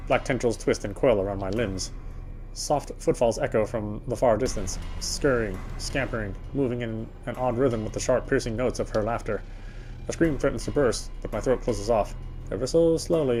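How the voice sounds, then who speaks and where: A man reading the first-person narration of a horror visual novel in a measured, brooding way. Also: lowish voice, darkish tone. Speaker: reader.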